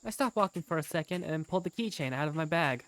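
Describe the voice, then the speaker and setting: Woman narrating a story, animated pitch. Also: fast. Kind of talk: scripted first-person story narration.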